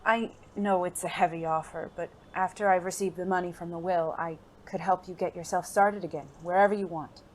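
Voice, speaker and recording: A performer playing a gentle, refined gentleman companion in an audio drama, speaking formally, earnestly and in a measured way. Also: medium pitch, fairly even intonation, natural voice. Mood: tender, earnest. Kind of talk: intimate audio drama dialogue. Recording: faint noisy background ambience.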